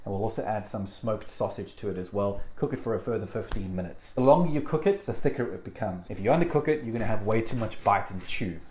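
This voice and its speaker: A man's voice: on the low side, animated, quick pace, hardly any pauses, darkish tone, slight background noise. A man, hosting a casual cooking video in a home kitchen with chatty, self-deprecating jokes. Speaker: cooking show host.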